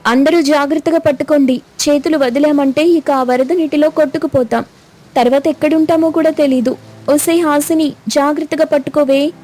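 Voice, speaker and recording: A woman voicing a cautious older schoolgirl who pleads and hushes her younger sister. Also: high-pitched, steady tone, normal speed, pitched above the performer's own voice, lightly acted, rough recording. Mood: urgent. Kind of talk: cartoon voice acting.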